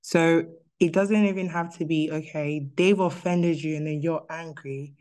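A woman's voice: sits low, steady tone, unhurried, a little mellow. A woman speaking casually and personally about faith in an online group, sometimes searching for her words. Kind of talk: personal Bible reflection, casual.

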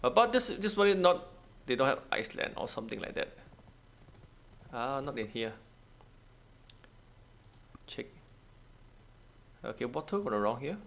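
Gravelly man with lively, swinging pitch. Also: a little slow, long pauses. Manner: thinking aloud in short, repeated phrases; non-native, broken English. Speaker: gaming video host. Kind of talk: casual gameplay commentary.

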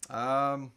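A man in his thirties hosting a casual live question-and-answer chat, often halting and trailing off mid-thought. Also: medium pitch, pushed and bright, smooth. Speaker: host answering audience questions. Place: small indoor room.

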